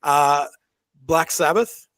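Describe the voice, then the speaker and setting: A man's voice: on the high side, slow, a bit bright. A man hosting a podcast, asking casual interview questions in an informal, hesitant way. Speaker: podcast host.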